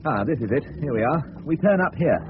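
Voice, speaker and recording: A man's voice: fairly high pitch, lively intonation, a little fast, natural voice. An actor playing a fussy, chatty middle-aged father in a 1970s radio drama, with a southern English accent and a clipped, grumbling manner. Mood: genial, chatty. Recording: narrow-band old radio recording, clear hiss.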